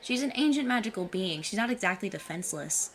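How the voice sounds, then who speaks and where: A woman's voice: medium pitch, expressive, fast, close to an everyday voice. A woman playing a plucky, cocky fifteen-year-old girl in an audio drama, self-assured and formally worded. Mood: stubborn, earnest.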